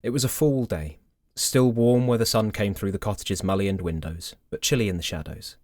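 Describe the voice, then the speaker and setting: Low-pitched male storybook narrator, dry studio sound. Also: normal speed, a little mellow, no echo. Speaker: fairy tale narrator.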